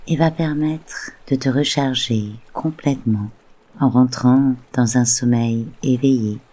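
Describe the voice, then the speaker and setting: A soft, breathy voice guiding a meditation in a soothing, unhurried way with gently spaced phrases. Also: mid-range voice, rough recording. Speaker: guided meditation host. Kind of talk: slow soothing guided meditation narration.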